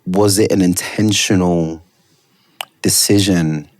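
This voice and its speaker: Male host, very low voice, casual and animated, dry close recording. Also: slow, no room sound. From Britain. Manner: enthusiastic, rambling, lots of fillers. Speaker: podcast host. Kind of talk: casual interview chat.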